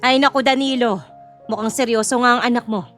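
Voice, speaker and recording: Actress as caring, scolding middle-aged mother for audio drama: high-pitched voice, nagging delivery, light music beneath. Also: steady tone, brisk, fairly bright tone, natural voice. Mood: concerned.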